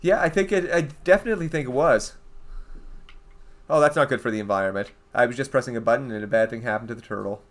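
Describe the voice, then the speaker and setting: A young Canadian man talks loosely through a game livestream, rambling from thought to thought and answering his viewers as he goes. His voice sits at a middling pitch, easygoing and conversational. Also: fast. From eastern Canada. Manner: chatty, rambling, reads out and answers viewer chat. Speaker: game streamer. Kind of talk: casual livestream chat.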